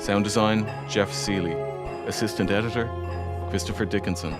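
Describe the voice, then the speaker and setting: A man's voice: fairly low pitch, fairly even intonation, moderate pace, music in the background. A man reading scripted closing credits as an announcer.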